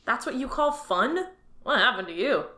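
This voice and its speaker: A woman's voice: fairly high pitch, sing-song, normal speed, sharp tone. A woman voicing a bored young male alien office clerk who whines and mocks his useless job, in an audio drama. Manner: sarcastic, whiny, restless.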